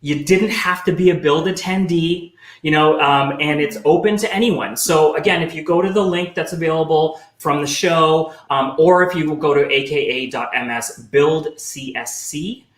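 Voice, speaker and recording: A man giving a relaxed, casual update with "you know" and "kinda" fillers. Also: fairly high pitch, normal speed, few pauses. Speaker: segment presenter. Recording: echoey room.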